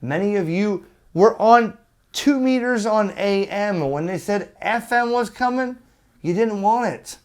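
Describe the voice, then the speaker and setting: A man giving an opinionated rant as a video host, emphatic and argumentative, with rhetorical questions and pauses for effect. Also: sits high, expressive, clean tone. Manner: exasperated, argumentative. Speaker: amateur radio YouTube host.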